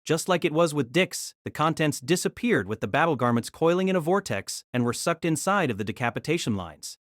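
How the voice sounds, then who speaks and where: A man's voice: on the high side, lively intonation, brisk, dry recording, studio quality. A man reading scripted dramatic narration for an audio drama.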